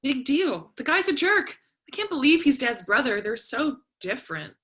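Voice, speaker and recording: A woman acts out an eighteen-year-old American daughter in a stage drama. Her voice is fairly high and sharp, with a forward edge. She is wounded, defiant and sarcastic, speaking with raw feeling. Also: big pitch swings, normal speed. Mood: sullen. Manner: emotional, argumentative. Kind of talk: staged play reading.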